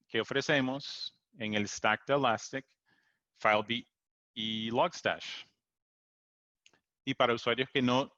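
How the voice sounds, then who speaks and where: A man's voice: lowish voice, fairly bright tone, no room sound. A man who is a native English speaker, giving an online technical talk in Spanish with hesitant fillers and restarts, heard over a computer mic on a video call. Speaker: technical support engineer presenting a webinar. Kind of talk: online tech presentation. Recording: dull lo-fi video call audio.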